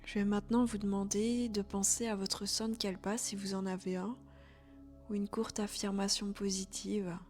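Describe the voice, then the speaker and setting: A woman leading a guided relaxation as a yoga instructor, speaking softly and calmly with breathy, near-whispered phrases. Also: medium pitch, moderate pace. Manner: soft, calm, soothing delivery with breathy, near-whispered phrases. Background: background music.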